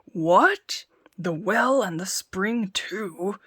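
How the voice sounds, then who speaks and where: A woman voicing a huge, dim-witted male giant who growls slowly and loudly. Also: animated, slightly rough, dry recording, studio quality, full character voice. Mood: wary. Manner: slow booming growl.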